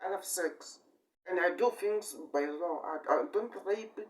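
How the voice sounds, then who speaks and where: A man in his twenties giving a rambling improvised monologue in halting, repetitive short phrases with sudden emphatic bursts. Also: high voice. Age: 20s. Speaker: amateur online speaker. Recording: distant microphone.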